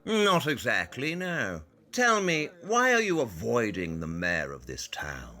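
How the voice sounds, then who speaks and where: An actor playing a cold, brilliant detective who speaks in a curt, condescending and precise way. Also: male voice, mid-range voice, wildly swooping pitch, measured pace, pushed and bright, exaggerated voice. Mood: cool, deductive. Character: cold, brilliant male detective, aloof and impatient. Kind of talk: audio drama acting. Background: faint piano music.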